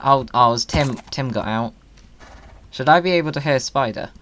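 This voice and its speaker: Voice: mid-range voice, moderate pace, fairly bright tone. A playful, whimsical gamer chatting casually while hosting a video game episode. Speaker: video game streamer and host. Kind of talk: casual gaming chat.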